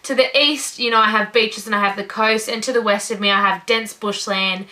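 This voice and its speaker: A woman's voice: medium pitch, fairly even intonation, brisk, pushed and bright. A nineteen-year-old Australian woman in her first vlog, talking casually to camera in an upbeat, gushing way. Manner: upbeat, gushing, says "incredible" and "you know" often. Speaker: vlogger.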